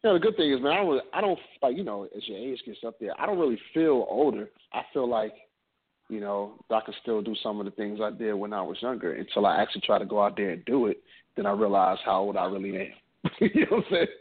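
A man in his late thirties, a podcast co-host from New Jersey, chatting in a relaxed, joking way. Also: on the low side, breathy edge. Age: 30s. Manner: laid-back, joking, drawn-out storytelling. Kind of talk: casual chat. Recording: tinny phone-like audio.

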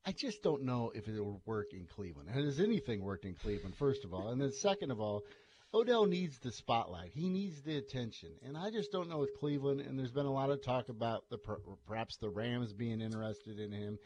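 A man's voice: mid-range voice, animated, brisk, lo-fi sound. A man hosting morning sports radio, trading dry, sarcastic asides with a guest. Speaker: radio host.

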